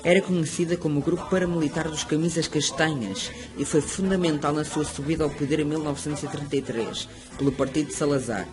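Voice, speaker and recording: A man reading a school history presentation aloud, steady and prepared. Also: on the high side, fast, low-quality recording. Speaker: student narrator. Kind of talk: school project narration read aloud. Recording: music playing under the voice. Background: music.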